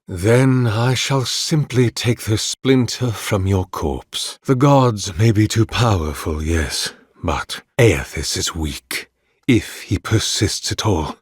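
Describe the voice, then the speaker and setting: An actor playing a solemn, zealous priest in a video game, speaking in grave, measured, formal oratory. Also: male voice, low voice, husky, dry recording, studio quality, heavily acted. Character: solemn, zealous male priest obsessed with redeeming his god. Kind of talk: dramatic scripted game dialogue.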